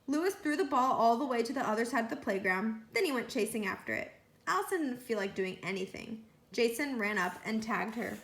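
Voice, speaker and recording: A woman reading a children's book aloud as a storyteller, with animated delivery. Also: a little high, brisk. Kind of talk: read-aloud storytelling narration. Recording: roomy echo.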